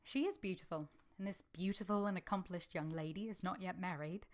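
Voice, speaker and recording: A woman's voice: fairly low pitch, fairly quick, close to an everyday voice. A woman acting an earnest, reserved young governess in a vintage radio drama, composed and polite in dialogue and narration. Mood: probing.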